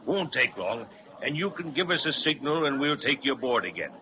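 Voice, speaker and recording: A man's voice: mid-range voice, very animated, fairly quick, lightly acted. An actor playing a jolly, candy-loving pirate captain who chuckles heartily and calls out orders to his crew in a 1930s children's radio serial. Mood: jovial. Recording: muffled 1930s radio with crowd murmur.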